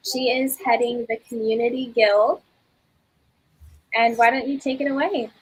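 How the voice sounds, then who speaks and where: Woman, community host, breathy voice, slightly high, chatty, encouraging. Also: fairly even intonation, moderate pace, rough recording. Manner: chatty, encouraging, many ums. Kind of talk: live online community session.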